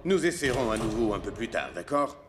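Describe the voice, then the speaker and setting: Methodical male cartoon instructor played by a man, mid-pitched, very animated intonation, formal, music behind. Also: brisk, natural voice. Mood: instructing. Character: instructing adult male goalkeeping trainer, commanding and methodical. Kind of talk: French cartoon dub acting.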